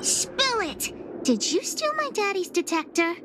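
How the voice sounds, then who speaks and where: Very high-pitched bossy little girl. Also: big pitch swings, measured pace, background noise, full character voice. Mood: demanding. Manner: pouty, demanding, childlike. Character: bossy, feisty little girl playing detective. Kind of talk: video game voice acting. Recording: game music behind.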